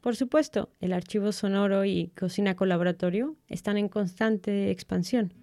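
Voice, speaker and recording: A woman's voice: mid-range voice, normal speed, mellow, no room sound, clean studio sound. A woman co-hosting a podcast in a partly scripted presenting style. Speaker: podcast co-host. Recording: guitar music under the voice. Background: guitar music.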